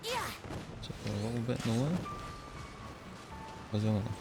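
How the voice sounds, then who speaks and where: A man's voice: mid-range voice, wildly swooping pitch, moderate pace, low-quality recording. A man casually commenting live on a game he is streaming, in muttered, incredulous asides. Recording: stream mic over game music. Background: game music.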